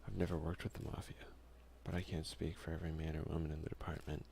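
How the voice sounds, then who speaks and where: A man speaks softly and casually in ASMR gaming commentary. His voice is deep and heavily fried, often dropping to a whisper, with faint noise behind it. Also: level tone, quick pace, creaky. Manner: soft-spoken, often slipping into whisper. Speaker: let's play ASMR video creator.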